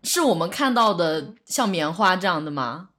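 Lively, swooping woman podcast host. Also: on the low side, moderate pace. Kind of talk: casual chat, bantering questions.

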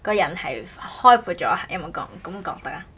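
Podcast host, woman, forward bright tone, casual and halting delivery. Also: lowish voice, sing-song, husky. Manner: halting, repeats words, self-correcting. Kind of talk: casual co-hosted podcast chat.

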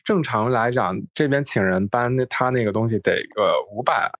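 A man's voice: medium pitch, normal speed, a bit bright, no room sound, clean studio sound. A man chatting casually and telling anecdotes in conversation. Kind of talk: casual chat.

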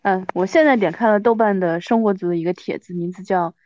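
A woman's voice: mid-range voice, fast, darkish tone, no echo, lo-fi sound. A woman in her thirties hosting a casual chat with an old friend, with a wry, self-mocking manner. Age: 30s. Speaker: podcast host.

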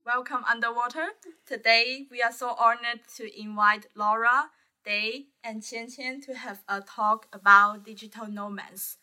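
Very bright-voiced young woman hosting. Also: on the high side, steady tone, a little slow. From Guangzhou, China. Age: early 20s. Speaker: interview host. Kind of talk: prepared interview questions.

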